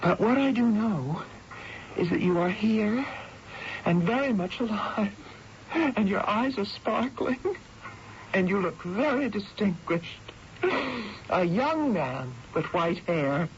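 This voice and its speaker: An actress playing a devoted wife, earnest and full of wonder, in a radio drama. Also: female voice, medium pitch, lively intonation, slow pace, long pauses, a little hiss or hum. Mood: tender. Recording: dull radio broadcast with music.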